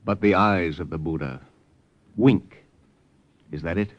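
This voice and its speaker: An actor with an American accent playing a plain-spoken, uneasy army lieutenant who acts and narrates in an old radio drama. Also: male voice, low voice, measured pace, no room sound. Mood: curious, direct. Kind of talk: 1940s-50s radio drama acting and narration. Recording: muffled old radio recording with music.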